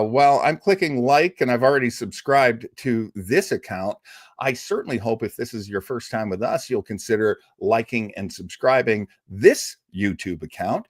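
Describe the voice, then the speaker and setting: A man's voice: on the low side, no echo, studio quality. A man hosting a live show, chatty and rambling as he reads out viewer comments. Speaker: show host. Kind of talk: casual livestream chat.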